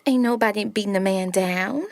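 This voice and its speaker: A woman playing a prim, sharp-tongued young woman in a radio play. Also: mid-range voice, moderate pace, vocal fry, dry recording, clean studio sound, natural voice. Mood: indignant, sparring. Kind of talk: stage-play dialogue for radio.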